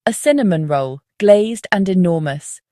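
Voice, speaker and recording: Low-voiced woman narrating, dry close sound. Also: expressive, measured pace, mellow, no echo. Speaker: narrator of a dark psychological story. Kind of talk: scripted first-person narration.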